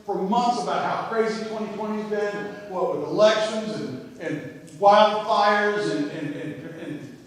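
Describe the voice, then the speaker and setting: A man's voice: high-pitched, unhurried. A man playing an eager, bossy dad showing off his doomsday bunker in a stage comedy, stammering and repeating himself like a salesman. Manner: salesman-like enthusiasm, repeats phrases, stammers between ideas. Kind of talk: amateur stage comedy acting. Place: indoor theatre stage. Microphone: distant microphone. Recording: echoey theatre stage, distant microphone.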